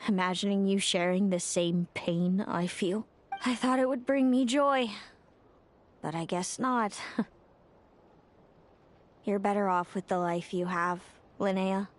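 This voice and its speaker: A woman playing a cool, calculating young female rival in a video game, speaking in a composed, knowing and deliberate way. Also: medium pitch, natural voice. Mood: wistful, bitter.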